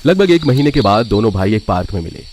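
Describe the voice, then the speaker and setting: A man's voice: on the low side, sing-song, fast talker, darkish tone, slight background noise. A man narrating a scripted family story.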